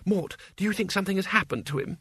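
A fretful young girl, acted out by a male narrator, pitched up and tight with worry. She pleads urgently, as in a storybook reading, in a dry, close recording. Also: fast, fairly bright tone, husky, no room sound, exaggerated voice. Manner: urgent, tight-voiced, fretting. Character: anxious, tearful, pleading teenage girl.

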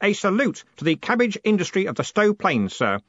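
A man's voice: high voice, big pitch swings, a little fast, fairly bright tone, no echo, highs cut off, voice pushed up, exaggerated voice. A man voicing an eager, naive young clerk in an audiobook, earnest, deferential and a bit pedantic. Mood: proud. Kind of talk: audiobook character voice.

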